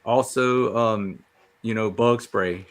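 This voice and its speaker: Lower-voiced man chatting as podcast guest. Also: takes it slowly. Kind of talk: casual chat.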